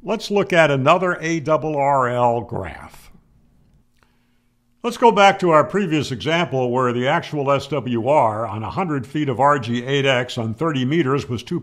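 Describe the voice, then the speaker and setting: A man's voice: medium pitch, fairly bright tone. An older man giving an explanatory technical lecture to camera, emphatic, asking questions and answering them firmly, on a close mic. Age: older, 60s or 70s. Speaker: ham radio explainer, video host. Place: small home room, indoors. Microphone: close studio mic on boom arm.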